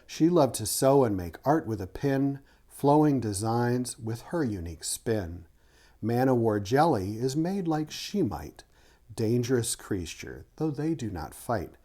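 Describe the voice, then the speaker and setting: Man, children's read-aloud, author and art teacher, soft-edged warm tone, animated intonation, rhythmic teacherly delivery. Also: medium pitch, unhurried. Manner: rhythmic reading of rhyming verse, teacherly asides. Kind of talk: children's picture-book read-aloud with short explanations.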